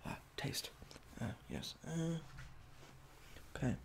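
A young man speaks softly in an ASMR roleplay, playing a polite, awkward examiner. His voice is creaky and moves widely in pitch, with halting delivery. Faint room noise sits behind him. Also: wildly swooping pitch. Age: young adult. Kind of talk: soft-spoken ASMR roleplay. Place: small domestic room indoors.

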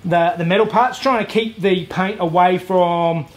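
High-voiced Australian man in a garage. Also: a little slow. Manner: relaxed, practical, self-deprecating, lots of "uh" fillers. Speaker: DIY car repair host. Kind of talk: hands-on workshop tutorial with voice-over. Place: indoor garage workshop. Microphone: lapel mic.

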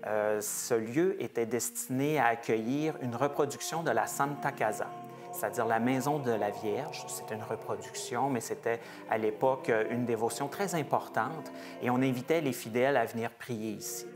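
A man in his forties, a museum research director, explaining the history of a chapel's stained glass in a measured, hesitant way with frequent fillers. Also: mid-range voice, over music. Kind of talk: explanatory heritage talk. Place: small wood-paneled chapel, indoors.

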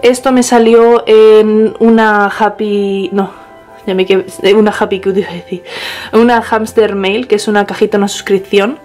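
A woman's voice: medium pitch, fairly even intonation, normal speed, a little mellow, faint music behind. A young woman, chatting casually through a home tour, often correcting herself, filmed on a small handheld camera in her bedroom. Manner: chatty, restarts and self-corrections. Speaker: pet vlogger.

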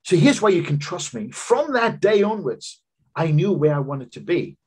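A man in his fifties delivers a business seminar over a webcam, telling his own story in an earnest, emphatic way. His voice is slightly husky and sits a little high for a man. His pitch swings widely as he pushes his points. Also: very animated, normal speed, darkish tone. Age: 50s. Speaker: business coach giving a seminar talk. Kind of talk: seminar presentation over slides. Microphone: video call webcam.

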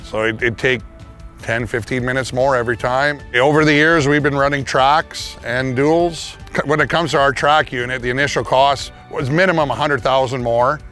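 A man's voice: mid-range voice, fairly even intonation, normal speed, a bit bright, soft background music. A man, a farmer talking casually in a testimonial interview, recorded outdoors on a farm yard. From Canada. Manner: plain-spoken, casual, with restarts.

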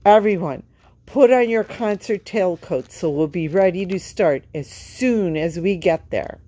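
A woman's voice: fairly low pitch, a little slow, mellow, voice pushed up. A woman voicing a worried, polite male bear bandleader in a storybook, anxious and exclaiming.

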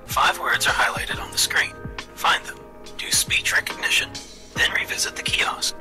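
A man voicing a guide robot in a language-learning game, calm and instructive, with a whispery, breathy delivery over game music. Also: medium pitch, normal speed, full character voice. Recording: game audio with background music.

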